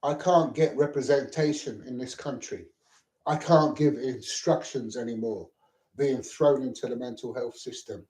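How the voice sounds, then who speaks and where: A man's voice: mid-range voice, normal speed, darkish tone. Agitated middle-aged man, echoey room. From Lebanon. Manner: agitated, repetitive, swears. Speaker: vlogger. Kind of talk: rambling personal monologue to camera.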